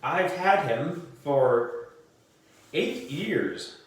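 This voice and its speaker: A man reads as a doting school principal in a children's storybook. He is indulgent and baby-talking, with a very lively pitch. The sound is distant and very echoey. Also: drawn-out words, heavily acted. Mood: explaining proudly. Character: doting male school principal fond of his pet frog.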